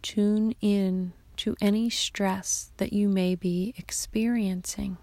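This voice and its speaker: Hushed, very slow woman meditation guide. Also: medium pitch, no room sound. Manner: soft, hushed, half-whispered delivery; calm, soothing instructions. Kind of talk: guided yoga nidra meditation.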